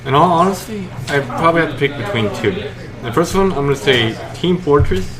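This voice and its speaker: A man's voice: a little high, expressive, fairly quick. A man taking part as a panelist in a casual, informal chat. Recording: background crowd chatter.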